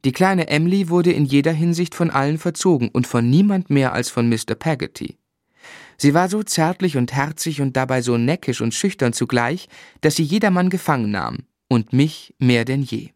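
An actor playing a grown man gently and wistfully recounting his boyhood as a measured radio drama narrator. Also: fairly high pitch, animated, dry recording, studio quality, close to an everyday voice. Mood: tender. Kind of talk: literary first-person narration in radio drama.

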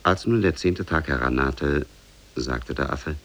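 A man narrating a fairy tale in a measured, scripted 1950s radio storytelling manner. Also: a bass voice, fairly bright tone.

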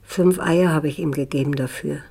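A woman's voice: deep voice, steady tone, moderate pace, creaky, mellow. An older woman in a radio drama playing a kindly old aunt who reminisces in a homely, rambling, self-questioning way. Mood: reminiscing.

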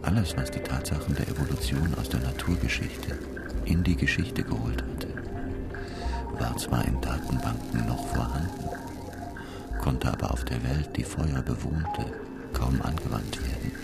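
A man playing the grave, measured storyteller of a science-fiction radio drama in German, with a gravelly, hushed delivery. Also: a bass voice, over loud music.

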